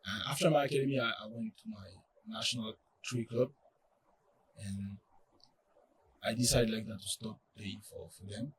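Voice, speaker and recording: A young man from France with a French accent, speaking English as a second language in a casual interview, halting and full of fillers. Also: on the low side, recorded from a distance, a little hiss or hum, low-quality recording. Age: young adult. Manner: halting, many fillers and restarts. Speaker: college soccer player, guest.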